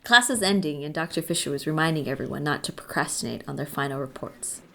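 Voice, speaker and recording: A woman's voice: sits low, expressive, fast talker, vocal fry, sharp tone, full character voice. A woman playing a young college student of the occult, narrating her story in a wry, world-weary, confiding way for an audio drama. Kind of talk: first-person scripted audio drama narration.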